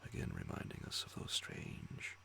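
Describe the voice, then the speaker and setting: A man reading a horror story as an ASMR bedtime narration, in a breathy, hushed whisper with deliberate phrasing. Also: a little hiss or hum. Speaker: audiobook reader of horror stories.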